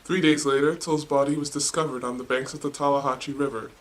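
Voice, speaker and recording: A man's voice: medium pitch, steady tone, fast talker. A man reading scripted news stories as a newscast anchor.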